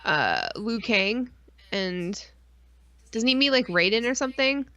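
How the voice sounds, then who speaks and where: A woman co-hosting a stream in casual, rambling fan chat, excitable and full of slang and swearing. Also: a little high, measured pace. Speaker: podcast and stream co-host.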